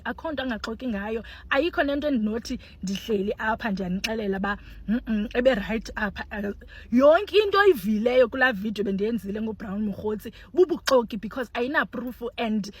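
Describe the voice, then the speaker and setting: A South African woman commenting on a news clip for her channel. She talks in an animated, exclaiming way, switching freely between languages and sounding indignant. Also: a little high, breathy edge. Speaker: online commentator. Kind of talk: casual commentary vlog.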